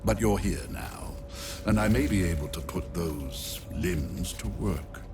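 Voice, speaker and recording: A man's voice: sits low, over music. An actor playing an arrogant, cold male necromancer in a video game cutscene, speaking with haughty disdain. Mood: condescending. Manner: haughty, disdainful, slow and deliberate.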